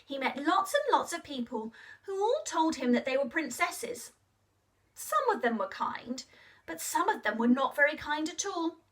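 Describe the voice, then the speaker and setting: A woman telling a story to children in an expressive, interactive way, asking questions and encouraging them to act along. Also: sits high, moderate pace, recorded from a distance.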